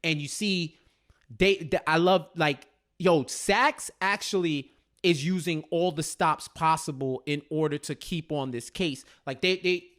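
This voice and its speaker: A man co-hosting a casual TV recap chat, retelling in an animated way and repeating phrases for emphasis. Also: a little high, measured pace. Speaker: co-host of a TV recap show.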